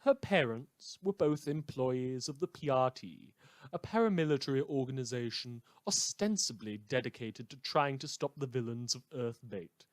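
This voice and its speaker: A man's voice: medium pitch, moderate pace, dry recording, studio quality, close to an everyday voice. A man playing a villainous, anarchic radio host who tells stories in a theatrical, dramatic scripted monologue. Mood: solemn storytelling. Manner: theatrical, storytelling with dramatic emphasis.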